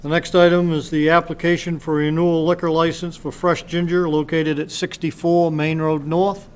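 A man chairing a town council meeting, reading agenda items briskly into a desk microphone in a council chamber. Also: a little high, level tone, clear, resonant tone.

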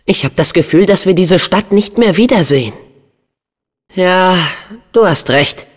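Casting: brave teenage boy game hero, earnest and upbeat, very animated pitch, unhurried pacing. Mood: earnest, troubled. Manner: earnest, expressive, youthful. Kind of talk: video game voice acting.

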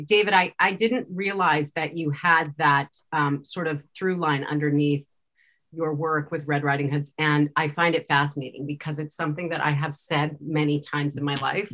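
Bright-voiced woman, fairly low, speaking personally. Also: steady tone, moderate pace. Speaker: director, interview guest. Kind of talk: personal, reflective interview talk. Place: indoor room. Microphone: video call.

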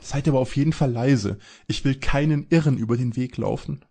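A man playing a nervous, fearful young sidekick detective in a parody audio drama, with a husky voice. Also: mid-range voice, fairly quick, mellow. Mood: nervous, grumbling.

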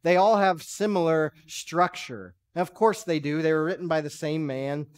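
A man in his thirties preaches from a church stage, his voice sitting a bit high. He talks in a relaxed, conversational way, posing questions to the congregation. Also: normal speed, smooth. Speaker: pastor. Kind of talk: sermon. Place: large church sanctuary stage.

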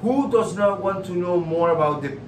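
Male co-host, middle-aged, Puerto Rican accent. Fairly high voice, emphatic and repetitive, in an echoey room. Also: moderate pace. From Puerto Rico. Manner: emphatic, repeats phrases. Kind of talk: conversational, impassioned opinion. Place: indoor room hung with paintings. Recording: echoey room, distant mic, noisy background.

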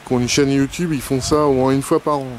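A man's voice: mid-range voice, steady tone, fast, a crowd in the background. A middle-aged man, narrating a family motorhome trip in a casual, chatty way with many fillers. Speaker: family travel vlogger. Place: outdoors at a ski resort and in a motorhome. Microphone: handheld camera mic. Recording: noisy outdoor camera audio. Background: vehicle and outdoor ambience.

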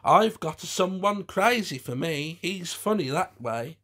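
A man's voice: sits high, expressive, measured pace, a bit bright, voice pushed up, heavily acted. A man voicing a coy, teasing young soldier puppet in an audiobook, camp and affected.

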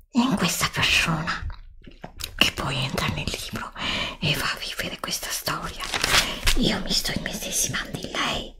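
Woman acting a frightened young student, whispering slowly. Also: mid-range voice, pushed and bright. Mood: hushed, troubled. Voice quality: breathy whisper. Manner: halting, repetitive, dramatic. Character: frightened, anguished young student reading a magic book. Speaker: ASMR roleplay creator. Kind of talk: whispered ASMR roleplay acting. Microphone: close desktop microphone.

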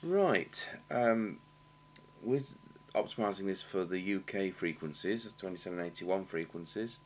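A British man with a low voice talks through a hands-on technical job. He is matter-of-fact and halting, thinking aloud as he goes. A faint noise and hum sit behind him. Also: expressive. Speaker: radio repair technician demonstrating. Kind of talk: unscripted technical demonstration commentary. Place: indoor workshop at a bench. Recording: clear background noise and hum.

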